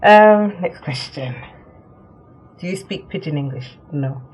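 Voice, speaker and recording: A woman's voice: fairly low pitch, expressive, a little mellow, highs cut off. Woman, young adult, born in Nigeria, casual vlog talk, lots of ums, faint background hiss. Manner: chatty, with many ums and quick laughs. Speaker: vlogger. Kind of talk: casual talk to camera, answering a tag.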